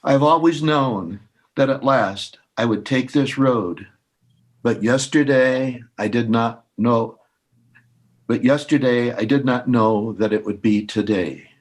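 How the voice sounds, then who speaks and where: A man speaking and reading aloud at an informal poetry reading, halting and unhurried, with pauses. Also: mid-range voice.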